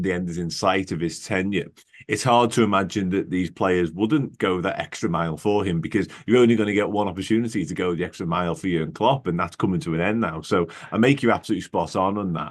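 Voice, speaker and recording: A man hosting a football fan podcast, running through long lists with enthusiasm. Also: low voice. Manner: fast, listing, enthusiastic fan talk. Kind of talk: casual interview chat.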